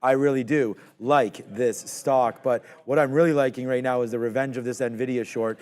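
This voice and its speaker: A man co-hosting a live trading show, talking through his trades in a relaxed, conversational way with lots of fillers. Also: mid-range voice, normal speed. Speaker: stock trading show co-host. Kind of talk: live market commentary.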